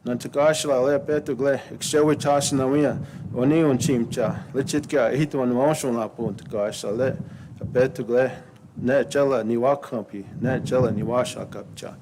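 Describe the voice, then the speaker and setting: Pria yang berbicara ragu dengan suara bising, diikuti doa berirama. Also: male voice, mid-range voice, normal speed, noisy background. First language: Lakota. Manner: hesitant, many fillers, then steady chanting prayer. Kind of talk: halting ceremonial remarks and prayer.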